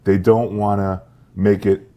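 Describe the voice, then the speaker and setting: A man's voice: fairly low pitch, flat delivery, unhurried, mellow. A man co-hosting a podcast in casual comedic banter, blunt and joking.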